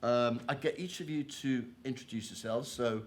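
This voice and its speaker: A man's voice: mid-range voice, moderate pace. A man chairing a conference panel, talking with many fillers, restarts and "you know"s. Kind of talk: hesitant conference moderation.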